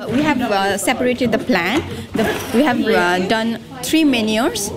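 A young woman from Nepal with a Nepali accent, a student answering haltingly in an interview. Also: fairly high pitch, very animated, over loud music. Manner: halting, hesitant.

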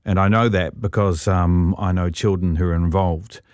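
Lowish-voiced middle-aged New host. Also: male voice, steady tone, normal speed, darkish tone, clear, resonant tone, no room sound, studio quality. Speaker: radio host. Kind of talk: interview conversation.

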